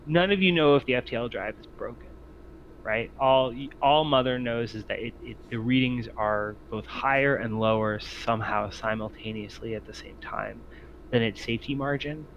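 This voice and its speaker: A man's voice: medium pitch, normal speed, fairly bright tone, lo-fi sound, a little hiss or hum. A man acting as game master, improvising tabletop game narration in a hesitant, explanatory way.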